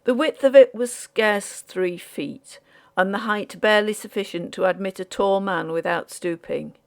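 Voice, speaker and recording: Female audiobook narrator for Victorian ghost story; unhurried, measured, with pronounced vocal fry. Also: mid-range voice.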